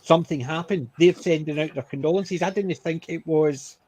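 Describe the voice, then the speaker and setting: A Scottish man talks in a loose, joking way on a group podcast, poking fun at himself. His voice sits a little high, and the sound is dry and close with no room around it. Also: moderate pace, darkish tone, dry recording. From Scotland. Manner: joking, self-deprecating, chatty. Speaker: podcast co-host. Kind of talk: casual group chat.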